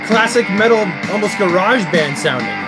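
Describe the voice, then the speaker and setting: Animated, higher-pitched man chatting. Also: normal speed. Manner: enthusiastic, thinking aloud. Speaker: host, album reviewer. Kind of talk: casual unboxing and music review chat. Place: small indoor room full of collectibles. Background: rock music from a record player.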